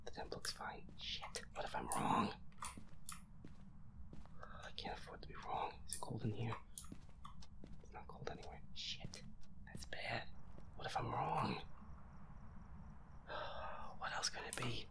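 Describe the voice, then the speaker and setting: A man's voice: mid-range voice, huge pitch swings, slow pace, long pauses, whispered, exaggerated voice, rough recording. A man playing a feisty werewolf boyfriend in an audio roleplay, muttering nervous, sweary complaints while playing a horror game. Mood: scared, tense. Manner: sweary, jittery, muttering complaints under the breath.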